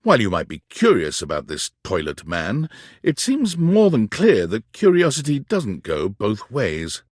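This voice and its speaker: Wry game narrator, husky, hugely varied intonation, dramatic scripted delivery, dry studio sound. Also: male voice, on the low side, huge pitch swings, a little slow, no room sound, exaggerated voice.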